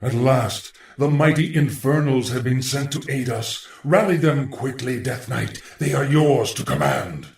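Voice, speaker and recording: A man voicing a solemn, sinister undead lich in a fantasy game, grave and measured, with a hollow ghostly tone. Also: medium pitch, vocal fry. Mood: solemn summoning. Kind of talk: dramatic fantasy game acting. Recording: echoing, distant-sounding voice.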